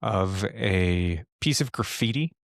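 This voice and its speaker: A man narrating a tabletop horror game as game master, improvising in a halting way as he thinks aloud. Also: fairly low pitch, expressive, vocal fry, no echo, studio quality.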